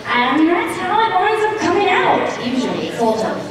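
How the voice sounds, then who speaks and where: A woman chatting casually as a panelist and radio play director, with a bubbly, animated manner. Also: very high voice, moderate pace. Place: large indoor convention panel room. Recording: echoey convention hall, distant mic, loud crowd.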